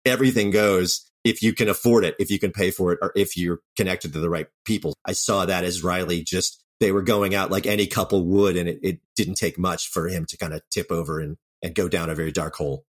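Low voiced guy getting interviewed, chatty, thinking out loud about his writing. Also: fairly even intonation, brisk, few pauses. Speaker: writer and creator, interview guest. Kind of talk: conversational interview answers.

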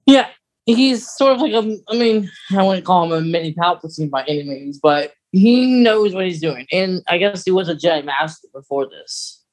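A podcast co-host chatting casually and recapping a story in a halting, rambling way. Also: medium pitch, low-quality recording.

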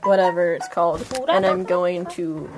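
A woman's voice: fairly low pitch, level tone, moderate pace, loud music behind the voice, poor audio. A woman chatting casually and playfully as a player in a tabletop game. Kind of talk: casual in-character game chat.

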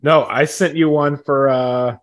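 A man's voice: mid-range voice, fairly even intonation, measured pace. A man in his thirties co-hosting a sports podcast in a casual, conversational style. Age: 30s.

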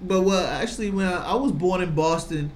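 A man's voice: high-pitched, brisk. An American man in his thirties chatting casually as an interviewer, slangy and repeating phrases for emphasis. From Virginia, United States. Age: 30s. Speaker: podcast host and interviewer. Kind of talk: casual chat. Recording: echoey room, audible background noise.

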